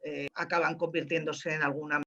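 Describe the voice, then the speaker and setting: A woman answering as a playwright, haltingly searching for words as she explains. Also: low-pitched, level tone, sharp tone. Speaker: playwright at a post-show Q&A. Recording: dull, lo-fi audio.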